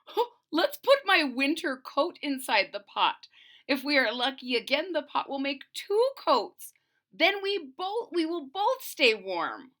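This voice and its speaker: A woman's voice: sits high, very animated, a little slow, fairly bright tone, pitched above the performer's own voice, full character voice. A woman voicing a poor old storybook husband who muses and wishes aloud with eager wonder. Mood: excited. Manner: musing, wishful exclamations. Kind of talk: storybook read-aloud character voice.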